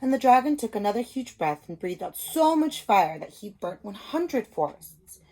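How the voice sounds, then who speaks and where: A woman's voice: medium pitch, big pitch swings, moderate pace, darkish tone, low-quality recording. A woman speaking as a reading teacher, explaining simply and reading a story aloud to children, stressing key words.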